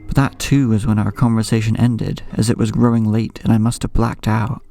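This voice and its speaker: Man playing a haunted, creaky-voiced young horror narrator. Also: lowish voice, flat delivery, mellow. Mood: uneasy, reflective. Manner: brooding, ornate, measured storytelling. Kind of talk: scripted first-person horror audio drama narration. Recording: music bed under the voice. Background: clear background music.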